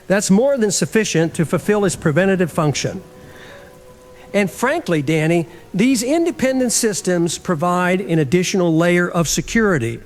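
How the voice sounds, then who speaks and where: Guy acting as a careful diplomatic official dodging questions, pretty high male voice, music underneath. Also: animated, normal speed. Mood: measured, defensive. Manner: formal, diplomatic, guarded. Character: measured, evasive male deputy director defending his program. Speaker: interviewed government official. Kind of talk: scripted radio drama interview.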